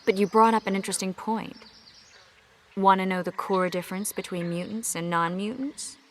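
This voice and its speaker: A woman playing a learned sorceress healer in a video game, explaining things in a measured, frank way. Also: medium pitch, slight background noise, lightly acted. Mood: explanatory.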